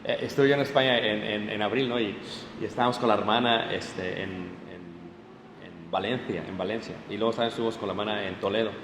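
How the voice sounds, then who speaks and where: A man preaching a lively sermon to his congregation, often stopping to check they follow. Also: fairly low pitch, a little fast. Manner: animated, addresses the congregation directly with frequent checks. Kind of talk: sermon, Bible class. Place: church hall. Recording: echoey hall, faint room noise.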